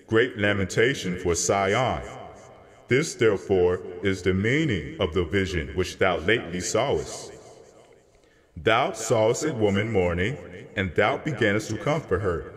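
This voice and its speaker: A man playing a commanding, comforting angel in a dramatized scripture reading, stately and measured, with long cavernous reverb. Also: lowish voice. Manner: stately, measured, authoritative, archaic phrasing. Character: commanding, comforting male angel explaining a vision.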